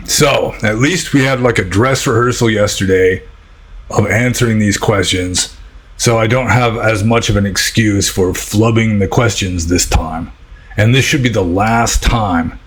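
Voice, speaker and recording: A man with an American accent and a husky voice, talking informally and a little ramblingly as a Buddhist teacher answering questions. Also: fairly low pitch, a bit bright. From United States.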